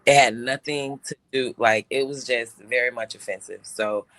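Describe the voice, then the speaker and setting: A man's voice: mid-range voice, fairly even intonation, moderate pace, a bit bright, no echo, rough recording. A man co-hosting a casual talk show, telling a personal story with lots of restarts and fillers. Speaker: show co-host. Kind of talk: casual podcast chat.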